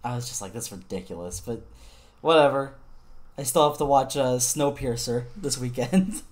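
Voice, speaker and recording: A young man co-hosting a film podcast, telling stories in a relaxed way, laughing often and restarting sentences. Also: mid-range voice, normal speed. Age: young adult. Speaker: podcast co-host. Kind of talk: casual chat.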